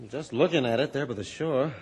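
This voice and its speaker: A man playing a plain-spoken fellow with a husky voice in an old radio adventure. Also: mid-range voice, fairly quick, lightly acted. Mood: reflective. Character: plain-spoken man humbled out of his superstition.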